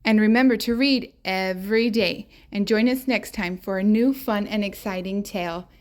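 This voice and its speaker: A woman hosting a children's storytime, reading picture books aloud in an expressive, deliberate way for young listeners. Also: mid-range voice. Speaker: host and reader of a children's read-aloud show.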